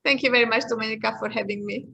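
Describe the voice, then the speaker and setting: A woman's voice: on the high side, fairly even intonation, very fast, gravelly, sharp tone. A woman in her fifties from Hungary with a Hungarian accent, answering interview questions in a reflective, conversational way. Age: 50s. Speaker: interview guest, leadership expert.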